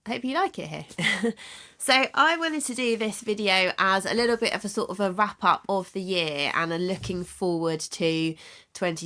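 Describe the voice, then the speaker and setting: A woman with a cold, sounding bunged up and a bit hoarse, chatting casually as host of her own book channel. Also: lowish voice, fairly bright tone. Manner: chatty, rambling, with frequent restarts and fillers.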